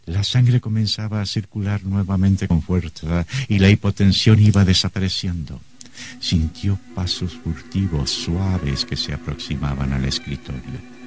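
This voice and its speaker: Very deep male horror narrator. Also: a little mellow, breathy edge, dry recording. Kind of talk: dramatic scripted radio horror narration. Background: music.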